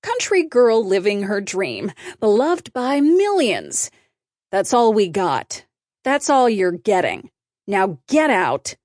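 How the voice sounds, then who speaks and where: A woman voicing a sharp, controlling female publicist with a snappy, commanding manner in a storybook. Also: mid-range voice, sing-song, takes it slowly, dry recording, clean studio sound. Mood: scornful, snapping.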